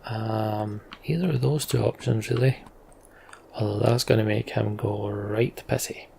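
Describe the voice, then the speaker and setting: A man's voice: lowish voice, steady tone, measured pace, vocal fry, a little mellow, slight background noise. A man narrating a fantasy game's text in storybook style as a let's player.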